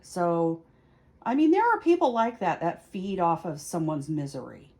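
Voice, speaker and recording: A woman's voice: on the low side, very animated, normal speed, darkish tone. A woman hosting a casual explanatory video, thinking aloud in a conversational, lightly self-mocking way as she does a tarot reading. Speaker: tarot reader and video host. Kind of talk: casual explanatory chat to camera.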